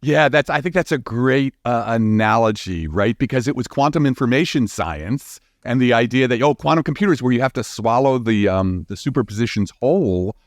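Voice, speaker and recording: A man's voice: medium pitch, lively intonation, moderate pace, hardly any pauses, no room sound, clean studio sound. A man explaining science as an interview guest in an informal, enthusiastic, conversational way.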